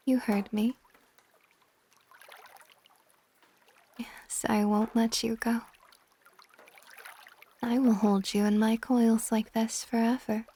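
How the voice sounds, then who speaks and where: A woman playing a possessive, tender dragon goddess in an intimate ASMR roleplay, speaking softly and breathily, often near a whisper. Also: fairly high pitch. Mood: possessive, adoring. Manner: soft, breathy, slow, often dropping to whispers.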